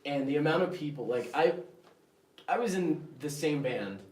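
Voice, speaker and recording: A man's voice: fairly high pitch, moderate pace. A man in his early twenties with an American accent, a band member chatting casually on a podcast and acting out quotes as he tells stories. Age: 20s. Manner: animated storyteller, acts out quotes. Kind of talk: casual chat. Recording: roomy, distant mic.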